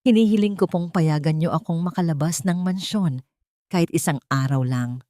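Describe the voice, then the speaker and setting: A woman voicing a meek, suffering young daughter-in-law, soft and trembling but determined, in a dramatic story. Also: on the low side, normal speed, dry recording, studio quality, close to an everyday voice. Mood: pleading, resolved.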